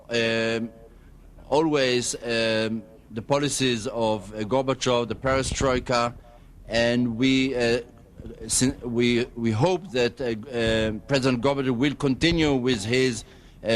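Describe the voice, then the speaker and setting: A man answering radio interview questions as an official spokesman, in a measured, diplomatic, halting way. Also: mid-range voice, steady tone. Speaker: embassy spokesman, interview guest.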